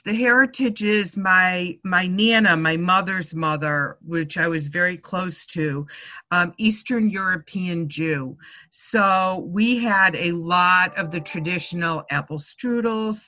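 A woman in her sixties with a Boston accent, a chef telling stories as an interview guest in a chatty, lively way. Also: fairly low pitch. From Connecticut, USA. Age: 60s. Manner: chatty, warm storytelling, laughs often. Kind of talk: casual conversation.

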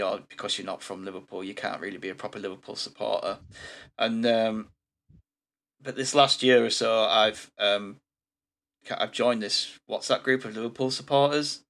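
A man leads a laid-back podcast conversation. His voice sits on the low side, and his rambling delivery is dotted with ums. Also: normal speed. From England. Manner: relaxed and rambling, with frequent ums. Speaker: podcast host. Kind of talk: casual podcast chat.

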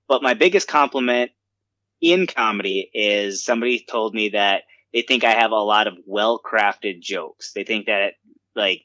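A man's voice: on the low side, sharp tone, no room sound, poor audio. A man co-hosting a comedy podcast, chatting casually and self-deprecatingly with many fillers. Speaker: podcast co-host. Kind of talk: casual chat.

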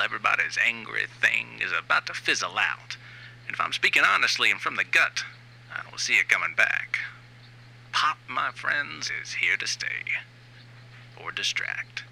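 A man's voice: medium pitch, big pitch swings, vocal fry, pushed and bright, exaggerated voice, rough recording. A man playing a self-important, sleazy new wave band frontman in a rambling, conversational comic monologue. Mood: boastful. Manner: rambling, smug, halting asides. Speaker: writer and reader of a comic fiction series.

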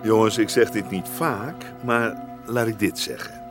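An actor playing a self-important, effusive radio channel coordinator in a radio comedy. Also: male voice, fairly low pitch, measured pace, music in the background, natural voice. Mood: smug praise. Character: pompous male radio channel coordinator, flustered ex-mentor.

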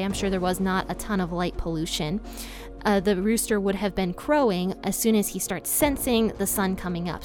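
Smooth-voiced woman hosting a Bible podcast. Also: mid-range voice, no room sound. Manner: chatty and conversational, with frequent "like" and "and so"; laughs lightly between thoughts. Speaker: podcast host teaching the Bible. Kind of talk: casual Bible study podcast.

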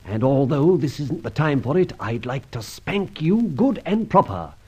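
A man acting a dashing, wry gentleman adventurer in an old radio drama, brisk and commanding. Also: on the high side, big pitch swings, husky, heavily acted. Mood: exasperated. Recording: dull vintage radio recording, faint music.

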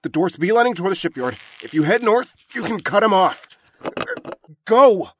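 A man's voice: high voice, animated, moderate pace, a bit bright, a little hiss or hum. A man playing an earnest, fussy young guardsman in a fantasy audio drama. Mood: urgent.

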